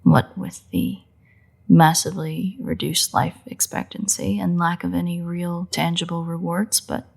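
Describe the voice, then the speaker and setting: A woman playing a playful, sardonic, bloodthirsty but secretly caring vampire in a roleplay audio drama, with wry, husky, often breathy delivery. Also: fairly low pitch, flat delivery, a little mellow. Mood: softer, grudging. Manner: wry, teasing, unhurried delivery with pauses.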